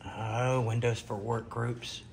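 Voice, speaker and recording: A middle-aged man talks casually through a home show-and-tell in a medium-pitched voice. He speaks off the cuff and often pauses mid-sentence. There is some room noise behind him, as from a camera set a little way off indoors. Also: little pitch movement, creaky, a little mellow. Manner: halting, pausing mid-sentence. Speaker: retro computer vlogger.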